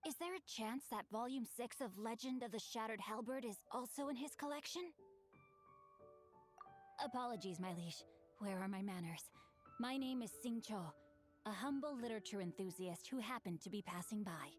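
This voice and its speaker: Woman voicing a refined young male swordsman, courtly, eager, polite, bookish, somewhat high voice, game character. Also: normal speed, soft background music, natural voice. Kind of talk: game voice acting.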